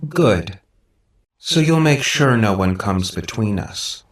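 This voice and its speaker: A man's voice: lowish voice, animated, slow pace, roomy sound, recorded from a distance, voice pushed up. A man voicing a robot girl in an audiobook, sweet and affectionate on the surface, clingy and manipulative, with precise, insistent phrasing. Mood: sweet, possessive. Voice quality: synthetic, affectionate tone.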